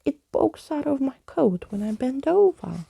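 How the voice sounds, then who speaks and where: A woman voicing a fussy, theatrical male skeleton in a storybook, with quaint, indignant, wordy speech and a breathy, creaky voice. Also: high-pitched, big pitch swings, unhurried, warm tone, voice pushed up, heavily acted. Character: fussy, theatrical male living skeleton with old-fashioned exclamations.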